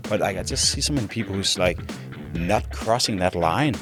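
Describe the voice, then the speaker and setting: A man's voice: low-pitched, animated, moderate pace, music in the background. A man in his twenties from Denmark with a Danish accent, a company founder talking as an interview guest, rambling and often restarting or repeating words. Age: 20s. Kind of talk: casual interview talk.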